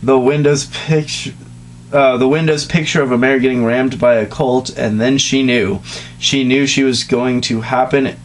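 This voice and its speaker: A man's voice: mid-range voice, fairly even intonation, normal speed. A man giving a dramatic amateur reading of a story, breaking off into giggly, crude asides. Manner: self-interrupting, giggly, crude. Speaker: reader of a comedic fan fiction reading. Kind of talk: dramatic reading of fan fiction with casual joking asides. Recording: noisy home recording with hum.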